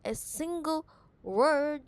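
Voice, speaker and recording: Slow-talking, possessive corporate ex-girlfriend, acted by a woman. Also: high-pitched, expressive, full character voice. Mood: exasperated, scornful. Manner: teasing, condescending, scornful, drawn-out emphatic delivery. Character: possessive, condescending wealthy female CEO ex-girlfriend in cyberpunk city. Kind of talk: ASMR audio roleplay, intimate dramatic monologue.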